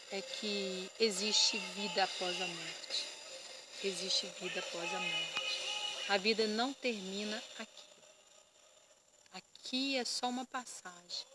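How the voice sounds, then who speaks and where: A woman pastor giving an earnest personal testimony to camera, often pausing and repeating words as she searches for them. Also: medium pitch, very noisy. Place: indoors.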